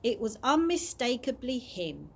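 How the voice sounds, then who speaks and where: A woman's voice: a little high, very animated, a little slow, gravelly, a little hiss or hum. A woman narrating a children's chapter book aloud as a storyteller. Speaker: early years practitioner reading stories to children.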